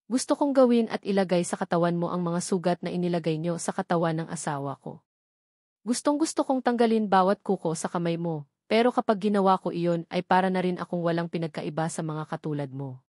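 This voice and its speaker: A woman's voice: fairly low pitch, fairly quick, a little mellow, no room sound, clean studio sound, natural voice. A woman voicing a cruel, vengeful husband in an audiobook story, taunting and threatening with emphatic delivery. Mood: sadistic gloating.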